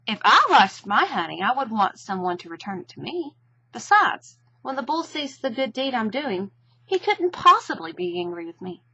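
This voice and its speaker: A woman voicing a gentle, slow-witted male toy bear, polite and earnest, in a storybook reading. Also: a little high, sing-song, husky, dry recording, muffled recording, exaggerated voice. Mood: earnest, hopeful.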